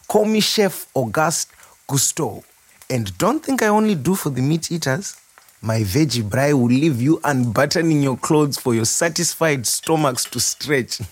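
Slow-talking, proud chef. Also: male voice, fairly high pitch, slightly rough, heavily acted. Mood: jovial, boastful. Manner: expressive, reflective, wryly humorous. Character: warm, proud, playful Zimbabwean nurse turned chef. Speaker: storyteller in an audio monologue. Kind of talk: dramatic first-person monologue.

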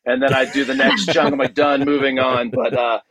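A man's voice: medium pitch, level tone, fairly quick, a bit bright. A man speaking casually as an interview guest, an enthusiastic storyteller who often stops and restarts his sentences. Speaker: podcast guest, audio drama producer.

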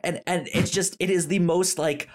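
A man's voice: high-pitched, fairly even intonation, normal speed. A man co-hosting a casual podcast, talking animatedly, laughing, and reading web quotes aloud. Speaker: podcast co-host.

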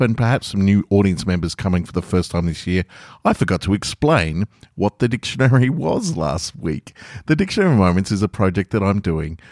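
A man's voice: low voice, a little mellow, dry recording, studio quality. A man hosting a podcast, talking casually and reading short stories aloud as narrator. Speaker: podcast host and author reading own stories.

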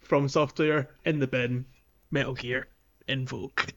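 A man's voice: medium pitch, steady tone, measured pace, lo-fi sound. A man co-hosting a podcast in informal, sweary, casual conversation. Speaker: podcast co-host. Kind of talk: casual chat.

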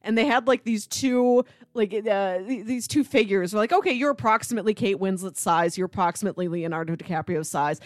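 A woman's voice: medium pitch, fairly quick, few pauses, no echo, studio quality. A woman talking casually as a podcast co-host about film, explaining earnestly and peppering her talk with "like".

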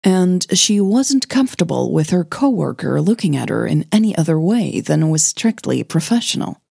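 A woman reading scripted fiction as the narrator of an audio drama, in a measured, gentle manner. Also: low voice, big pitch swings, gravelly, slightly rough, no echo, clean studio sound.

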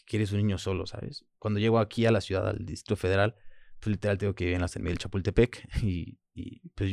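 A man in his thirties with a very deep voice and a Mexican Spanish accent, though French was his first language. He talks in a halting, reflective way, leaning on small fillers between thoughts. The sound is close and dry, with no room around it. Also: vocal fry, dry recording. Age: 30s. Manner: reflective, halting, frequent "pues" and "¿sabes?". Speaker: guest. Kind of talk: personal interview.

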